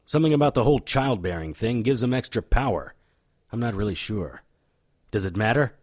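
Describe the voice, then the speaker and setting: An actor playing a cocky, stubborn occult investigator in an audio drama, blunt and sardonic. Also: male voice, on the low side, animated, a little fast, a little mellow, no room sound, studio quality. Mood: cocky, insistent. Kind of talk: audio drama dialogue with first-person narration.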